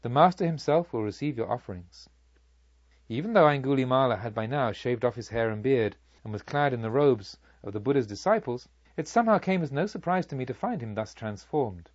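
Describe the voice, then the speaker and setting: Husky, expressive male audiobook narrator. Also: mid-range voice, no echo, lo-fi sound. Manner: formal, deliberate storytelling. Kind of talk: expressive scripted literary narration.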